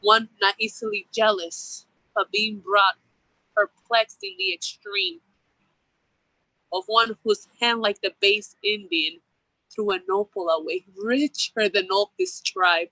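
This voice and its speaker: A woman performing a bilingual Shakespeare monologue outdoors as a remorseful general facing death, in a measured, solemn delivery. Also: mid-range voice, bright, forceful tone, no echo, rough recording. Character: man, a remorseful general confessing before death.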